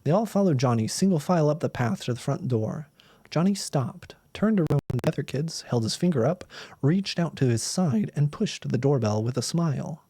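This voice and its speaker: A man's voice: fairly high pitch, lively intonation, moderate pace, dark tone, slightly rough, dry recording, clean studio sound. A man narrating a story aloud as a storyteller, reading expressively. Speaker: storyteller, streamer.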